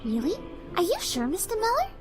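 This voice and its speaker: A woman voicing a shy, gentle cartoon pony mare who speaks for animals, soft, polite and hesitant. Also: very high voice, huge pitch swings, fairly quick, loud music behind the voice, heavily acted. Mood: timid, kind. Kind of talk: cartoon fan audio drama acting.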